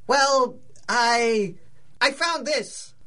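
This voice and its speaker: A woman's voice: a little high, extremely slow, bright, forceful tone, far from the mic, exaggerated voice. A woman playing a nervous, hesitant young male locksmith and reluctant burglar in a 1930s-style radio drama. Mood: eager, anxious. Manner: hesitant, protesting, exclaiming. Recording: roomy, slightly off-mic.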